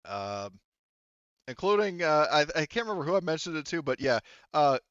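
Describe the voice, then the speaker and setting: A man's voice: on the high side, very animated, moderate pace, a bit bright, clean tone, no room sound, dull audio. A man acting as game master in a casual tabletop game debrief, talking loosely and swearing freely.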